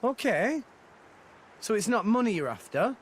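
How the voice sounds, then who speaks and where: An actor playing an earnest, plucky teenage boy with a brisk, colloquial manner in a video game cutscene. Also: high voice, sing-song, husky, exaggerated voice. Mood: earnest, coaxing.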